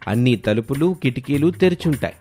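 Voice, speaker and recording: A man's voice: mid-range voice, lively intonation, fairly quick, warm tone, faint music behind. A man narrating a scripted family moral story as its storyteller.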